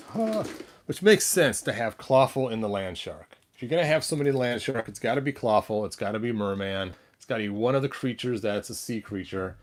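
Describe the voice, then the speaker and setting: A man's voice: mid-range voice, background noise. A man chatting casually and playfully as he hosts a hobby video, often muttering to himself as he handles toys. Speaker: toy collector hosting a story-time video. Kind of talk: casual chat while handling action figures.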